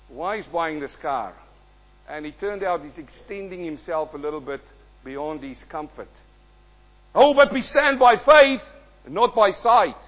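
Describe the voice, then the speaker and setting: Dull-recorded preacher quizzing his congregation. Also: sits high. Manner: calls out questions to the congregation; swells loud in prayer, drops soft at amens. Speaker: guest preacher. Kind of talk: sermon with prayer.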